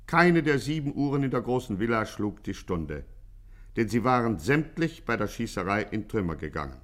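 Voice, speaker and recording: A man narrating a 1950s radio serial in a formal, mock-dramatic announcer's delivery. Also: fairly low pitch.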